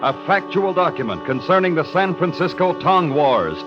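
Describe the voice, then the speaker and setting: An American man gives formal scripted show announcements in 1950s radio style. His voice is a little high. It comes from a dull old recording with clear music behind. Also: very animated, normal speed.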